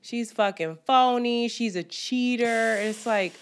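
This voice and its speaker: A woman's voice: on the high side, takes it slowly. A woman co-hosting a podcast, chatting casually, swearing freely and acting out quotes. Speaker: podcast co-host. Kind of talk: casual chat.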